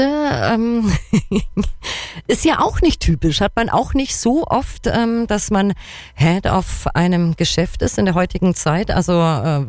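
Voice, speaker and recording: A woman with an Allgäu accent hosts a radio interview, her pitch rising and falling in a lively, lilting way. She asks questions with plenty of fillers. The recording is dry and close. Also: dry recording. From Allgäu, Germany (Kempten). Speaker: radio host.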